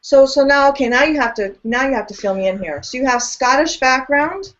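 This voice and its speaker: A woman hosting an online interview, playful and excitable, repeating words as she gathers her thoughts. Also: high-pitched, a little fast, highs cut off. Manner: playful, excitable, repeats herself when thinking. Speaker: host. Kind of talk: casual interview chat.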